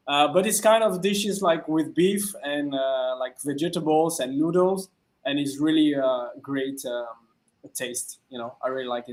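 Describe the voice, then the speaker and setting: A man from France, a music producer answering interview questions in halting English full of fillers. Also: a little high. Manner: halting, many "uh" fillers and restarts, simple non-native phrasing.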